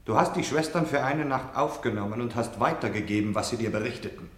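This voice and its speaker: An actor playing a cold, scornful Nazi officer who harangues and threatens a prisoner in a radio play. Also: male voice, medium pitch, fast talker, lightly acted. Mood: scornful accusing. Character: cold, menacing male Nazi officer taunting his prisoner. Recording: echoey, reverberant room.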